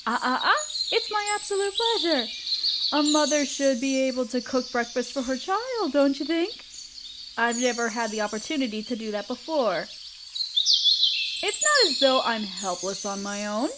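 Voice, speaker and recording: Woman playing a doting, high-voiced spider queen mother. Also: sing-song, moderate pace, very noisy. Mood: affectionate teasing, then warm, nurturing. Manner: tender, patient, encouraging, formally worded. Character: doting motherly spider queen, regal, gently teasing, protective. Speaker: mother figure teaching a language. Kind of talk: roleplay audio, softly acted monologue.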